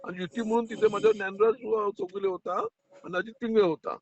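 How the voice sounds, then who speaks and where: A man's voice: high voice, fairly even intonation, quick pace. A man speaking as a party official on a recorded phone call, defensive and placating, repeating himself and interjecting often. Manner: repetitive, placating, keeps interjecting. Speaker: political party district liaison official.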